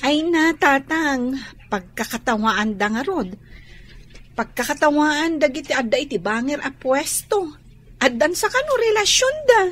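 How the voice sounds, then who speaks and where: A woman's voice: high voice, big pitch swings, a little slow, fairly bright tone, exaggerated voice. A woman playing a gossipy, giggly young daughter with a Filipino accent in a radio drama. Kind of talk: Ilocano radio drama acting.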